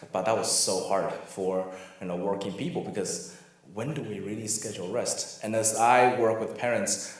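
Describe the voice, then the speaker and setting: A middle-aged man from Taiwan who moved to the US as a child, giving an informal talk as a guest workshop speaker. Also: on the low side. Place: reverberant room in a church. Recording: very echoey church room.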